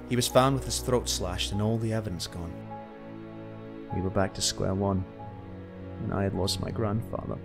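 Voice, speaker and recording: A man's voice: lowish voice, close to an everyday voice. A man playing a driven, hot-tempered police detective in an earnest, dramatic amateur radio play.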